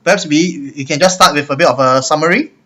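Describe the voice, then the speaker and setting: A man with a Singaporean accent hosting a casual interview, apologetic and quick to laugh. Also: fairly high pitch, bright, forceful tone. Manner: laughs often, friendly and apologetic. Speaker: host.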